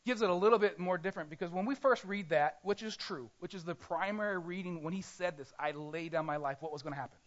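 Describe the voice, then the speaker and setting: A male pastor preaching a sermon in a conversational way, often pausing and correcting himself. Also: high-pitched, highs cut off.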